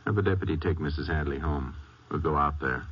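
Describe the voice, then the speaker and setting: Very noisy muffled vintage radio, low-voiced Ranger, laconic, steady. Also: male voice, fairly quick. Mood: calm, questioning. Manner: laconic, matter-of-fact. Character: calm, steady Texas Ranger investigator. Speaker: lead detective and narrator. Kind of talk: 1950s radio western acting.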